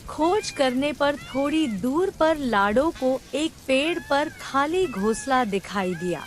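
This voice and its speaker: A woman's voice: sits high, animated, slow pace. A woman narrating a scripted cartoon children's story as the storyteller.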